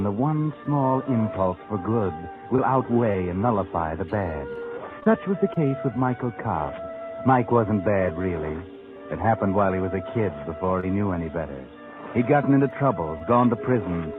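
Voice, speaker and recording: Over a thin, tinny vintage broadcast with music clearly behind it, a male actor plays an ominous night-walking mystery narrator. He is a low-pitched man who tells his dark tale deliberately, with sinister 1940s radio drama flair. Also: close to an everyday voice.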